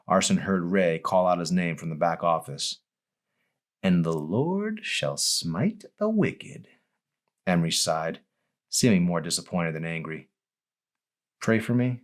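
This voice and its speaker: A man reading his own novel as a dramatic audiobook, in a grave, deliberate storytelling manner. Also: low voice.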